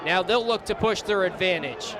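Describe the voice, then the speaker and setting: A young man doing live play-by-play lacrosse commentary for radio, excitable and ready to shout on big plays. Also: high-pitched, moderate pace. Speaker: play-by-play announcer. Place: sports stadium during a live game. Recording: loud crowd noise behind commentary. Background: crowd and arena noise.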